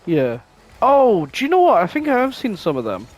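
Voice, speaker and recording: A man's voice: high-pitched, huge pitch swings, normal speed. A man co-hosting a podcast, chatting casually and informally. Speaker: podcast co-host.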